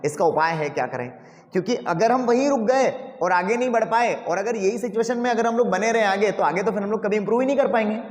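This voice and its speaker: A man's voice: sits high, fast, roomy sound, in a large hall. A male English teacher, lecturing a class and asking them rhetorical questions.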